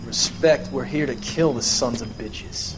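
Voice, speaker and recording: A man's voice: mid-range voice, a little slow, background noise, natural voice. An actor playing a sneering, hostile army corporal in a video game, a man with a mocking, coarse delivery. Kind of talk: war scene video game acting.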